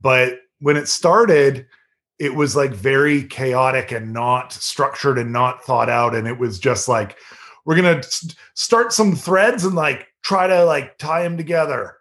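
A man talking in a relaxed, rambling way as a podcast guest, with a slightly nasal yet deep voice and many fillers. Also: a little slow. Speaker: podcast guest, improv performer. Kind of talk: casual chat.

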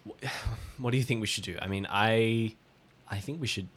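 A man's voice: on the low side, moderate pace, fairly bright tone. A man playing a flustered, defensive and wary male adventurer in improvised audio drama, stammering and hesitant.

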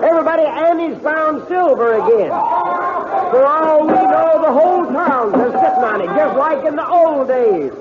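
Excitable, very high-pitched frontier doctor. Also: male voice, unhurried. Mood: jubilant. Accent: American. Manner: excitable, repeats himself. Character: excitable frontier town doctor, adult man. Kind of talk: old-time radio western acting. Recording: tinny old radio, noisy crowd behind.

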